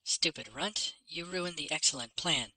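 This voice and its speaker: A man's voice: fairly high pitch, measured pace, pushed and bright, dry recording, pitched above the performer's own voice, exaggerated voice, low-quality recording. A man voicing a bossy, scornful young male werewolf with a taunting, boastful manner in a fantasy audiobook.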